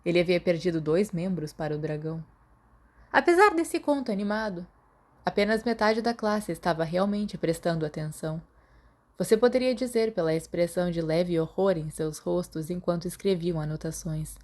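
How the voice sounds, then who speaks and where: A woman with a Brazilian accent, calmly reading a fan fiction audiobook aloud. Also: lowish voice, moderate pace. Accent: Brazilian Portuguese. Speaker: podfic reader.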